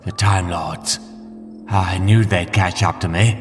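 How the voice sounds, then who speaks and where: A curious, resolute time-travelling gentleman, acted by a man in a comic dub. He speaks properly and takes charge with brisk commands, over background music. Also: deep voice, steady tone. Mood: alarmed.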